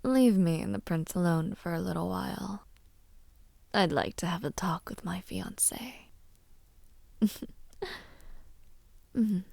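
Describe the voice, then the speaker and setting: A woman playing a haughty, mocking young villainess princess in an audio roleplay, condescending and teasing, often dropping to a breathy murmur. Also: lowish voice, warm tone, dry recording. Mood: cool, commanding. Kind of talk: audio roleplay acting, addressing the listener.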